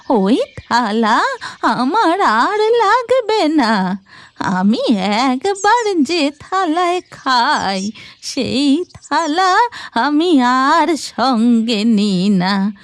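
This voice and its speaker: A woman voicing an old beggar woman in a cartoon folktale, with slow, drawn-out, deliberate speech. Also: high-pitched, very animated, heavily acted. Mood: satisfied, firm. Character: goddess disguised as hungry old beggar woman, slow, insistent.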